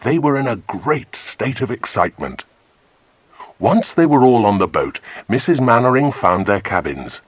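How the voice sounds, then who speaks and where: A man's voice: medium pitch, very animated, normal speed, husky, dry recording. A man narrating a children's audiobook as a storyteller.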